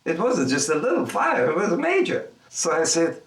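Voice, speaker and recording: An older man from Egypt, an artist, speaking in a reflective documentary interview, halting and repeating words as he thinks aloud. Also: on the high side, wildly swooping pitch. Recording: roomy, mic at a distance.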